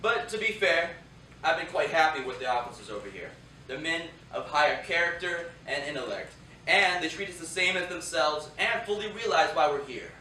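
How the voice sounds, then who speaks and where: An earnest young GI reads out with wry, deliberate expression on stage, played by a man. The voice is bright and carries from a distance in an echoing room. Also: on the high side, animated. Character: earnest, wry young American GI writing home. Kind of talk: theatrical reading of letters and verse. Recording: roomy, distant theatre recording, faint noise.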